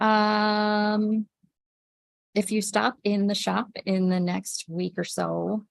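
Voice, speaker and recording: Woman, shop owner on a livestream, with a cold, sniffly and raspy, very gravelly, casual. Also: on the low side, no room sound. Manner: chatty, reads out viewer comments by name, many ums. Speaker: yarn shop owner hosting a weekly livestream. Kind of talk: casual chat with viewers.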